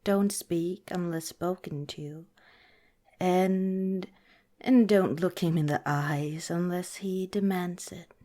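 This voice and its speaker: A woman playing a protective vampire girlfriend in an ASMR roleplay, speaking softly and deliberately, partly in a whisper, earnest and cautioning. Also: fairly low pitch, steady tone. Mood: cautioning, serious. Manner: soft, deliberate, breathy whispering in places.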